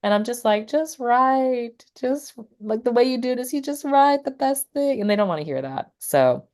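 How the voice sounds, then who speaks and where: A woman's voice: on the high side, expressive, moderate pace, a little mellow. A woman poet and teacher talking casually in an interview, rambling and full of fillers like "like" and "you know.".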